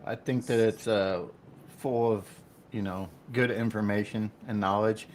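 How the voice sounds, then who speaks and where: Low, flat-toned man, questioning panelist on a call. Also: unhurried, a little hiss or hum. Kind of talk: casual panel discussion.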